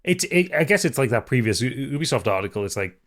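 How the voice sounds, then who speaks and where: A man's voice: medium pitch, very animated, quick pace. A man co-hosting a gaming podcast, reasoning through points in casual conversation. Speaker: podcast co-host.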